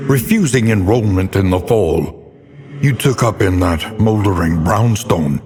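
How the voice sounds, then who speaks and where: An actor playing a grim, haunted scholar who narrates cosmic horror in a grave, ornate, deliberate manner for a game. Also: male voice, sits low. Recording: long hall reverb, noisy ambient bed.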